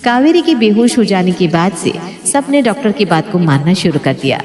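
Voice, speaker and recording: A woman's voice: mid-range voice, sing-song, normal speed, a little mellow, soft background music. A woman reading scripted narration for a moral family story. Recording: long hall-like reverb.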